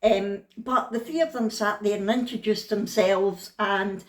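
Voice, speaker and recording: A woman's voice: medium pitch, fairly even intonation, a little slow, echoey room. A woman with a thick Scottish accent, chatting frankly and down to earth in a casual vlog. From Scotland. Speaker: vlogger.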